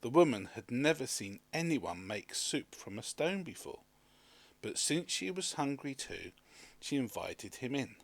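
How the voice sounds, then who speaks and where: A man reading a children's story aloud as an expressive scripted narration. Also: medium pitch, a little slow, creaky, no room sound. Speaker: storybook reader.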